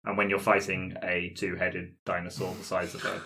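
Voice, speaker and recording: A man's voice: sits low, completely flat delivery, moderate pace, vocal fry, sharp tone, slight background noise. A man talking casually as one of the players in a tabletop gaming group discussion. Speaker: player in a roleplay podcast.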